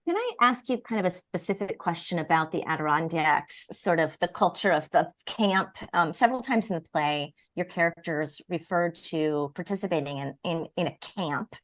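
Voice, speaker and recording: A woman interviewer with a slightly low voice, recorded very dry with no room sound. She talks conversationally as a co-host, putting questions to her guest. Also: animated, normal speed, hardly any pauses, dry recording.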